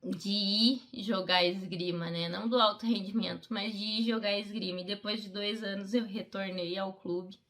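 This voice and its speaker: A middle-aged woman speaks at a medium pitch. She recounts her own life as if in a documentary interview. Her delivery is heartfelt and conversational, often checking in with short tag questions. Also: steady tone, moderate pace, fairly bright tone. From Brazil. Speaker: Paralympic fencer, interviewee. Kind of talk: personal storytelling in a documentary interview.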